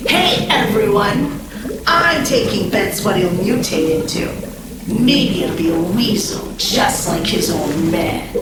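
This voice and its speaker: A woman voicing a vengeful, taunting female mutant brawler in an animated production. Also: medium pitch, slow pace, very noisy. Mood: mocking, bitter. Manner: sneering, taunting. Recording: very echoey, distant, loud music behind.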